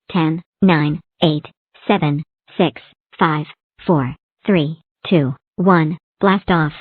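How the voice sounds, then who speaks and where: A woman's voice: fairly low pitch, no room sound, natural voice. A woman doing a bubbly talking number block. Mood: excited. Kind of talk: fan-made cartoon voicing. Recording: tinny phone-like dry recording.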